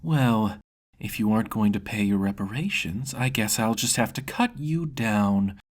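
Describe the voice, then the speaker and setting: A man playing a menacing male angel gang boss in a fantasy story, with deliberate, mock-polite threats. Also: fairly low pitch, lively intonation.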